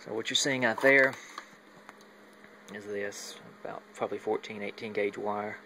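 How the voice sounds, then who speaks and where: A man giving a casual, unscripted hobbyist walkthrough on a phone, halting as he thinks aloud. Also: fairly low pitch, fairly even intonation, creaky, noisy background. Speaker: hobbyist demonstrating a home radio antenna setup. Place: at home, moving between indoors and outside. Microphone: handheld phone while filming.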